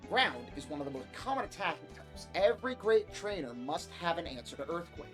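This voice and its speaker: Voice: medium pitch, big pitch swings, a little fast, natural voice. A performer voicing a stern male science teacher, lecturing formally for a visual novel reading. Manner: formal, didactic. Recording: music behind the voice.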